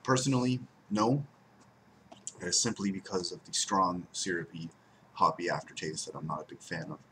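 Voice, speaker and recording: A man's voice: low voice, steady tone, moderate pace, pushed and bright, slight background noise. A young man casually reviewing a beer at home, rambling and repeating words for emphasis, recorded on a desk webcam. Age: young adult. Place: home room by window blinds, indoors.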